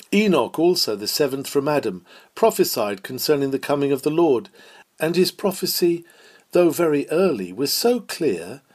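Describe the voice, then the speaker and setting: A man reading a Victorian sermon aloud in a measured, formal, earnest manner. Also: fairly high pitch, a little mellow. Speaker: sermon reader.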